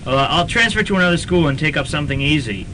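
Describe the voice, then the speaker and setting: A man playing an earnest, troubled college ghost investigator with halting, stammering delivery in a student radio drama. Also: on the high side, animated, natural voice. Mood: troubled, regretful. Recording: slightly noisy old radio tape.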